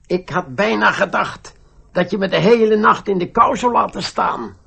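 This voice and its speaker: An actor playing a crafty, needling little gnome man with a creaky, rough voice in a radio play. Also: high-pitched, lively intonation, normal speed, a bit bright, a little hiss or hum, full character voice. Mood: reproachful. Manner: needling, reproachful, swooping.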